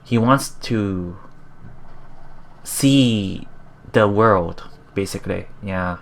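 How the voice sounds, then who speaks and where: A let's play host reading a visual novel aloud in a halting way, with casual, musing commentary. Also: deep voice, vocal fry. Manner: halting, musing, repeats phrases.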